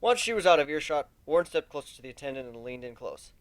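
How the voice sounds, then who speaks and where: A man reads a story aloud as its narrator. His voice sits a little high and moves expressively up and down. The sound is dry, with no room around it. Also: sing-song, fast, dry recording. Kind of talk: dramatic reading of a fan fiction story.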